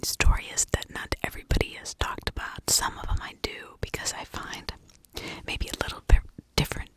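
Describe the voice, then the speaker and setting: A woman whispering in an informal ASMR style, telling stories and giving her own opinions in a breathy, conversational way. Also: moderate pace. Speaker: ASMR true crime storyteller, channel host.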